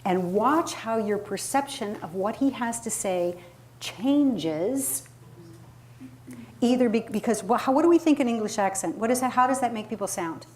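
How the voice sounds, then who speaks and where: A woman's voice: fairly high pitch, expressive, moderate pace, a little mellow. A woman leading an interactive workshop as a public speaking coach, talking emphatically and conversationally and putting questions to her audience. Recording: live room recording with clear background noise.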